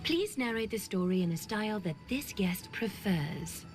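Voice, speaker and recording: A woman playing a composed, courteous and attentive hostess in a video game, with formal, measured phrasing. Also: medium pitch, expressive, over music, natural voice. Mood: composed, solicitous.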